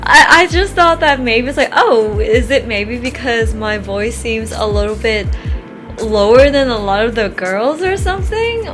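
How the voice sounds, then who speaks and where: Young woman co-host, high voice, casual chat full of laughter, faint music underneath. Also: animated, unhurried, a bit bright. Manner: giggly, laughs often while telling stories. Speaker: podcast co-host.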